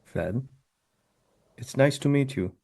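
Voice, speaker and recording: A man's voice: medium pitch, expressive, slow, a little mellow. An actor playing a friendly young male love interest in a romantic comedy table read. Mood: smitten. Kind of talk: romcom table read acting.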